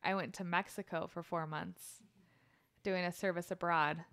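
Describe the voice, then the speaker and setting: A woman in her thirties chatting casually as a podcast guest, laughing often and adding quick asides. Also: fairly low pitch, steady tone, a bit bright. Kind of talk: casual chat.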